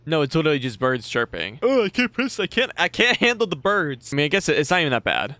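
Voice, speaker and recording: A young man giving casual, expressive let's play commentary with some swearing, close on a desk microphone. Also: a little high, quick pace, dry recording. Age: young adult. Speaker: gaming video host. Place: small indoor gaming room. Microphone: close boom-arm desk microphone. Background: game audio and music.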